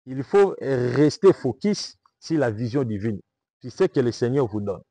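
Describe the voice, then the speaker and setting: Emphatic Congolese preacher, dry sound. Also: male voice, medium pitch, sing-song, unhurried, mellow, no echo. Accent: Congolese French (Kinshasa). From Congo (Kinshasa). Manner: emphatic, repetitive, rhetorical questions. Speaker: prophet and preacher. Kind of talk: preaching-style interview answers.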